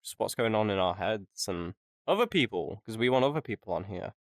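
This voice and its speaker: A man's voice: on the low side, animated, a little fast, no room sound, studio quality. A man co-hosting a casual podcast, joking and rambling with frequent laughs. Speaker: podcast co-host. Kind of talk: casual chat.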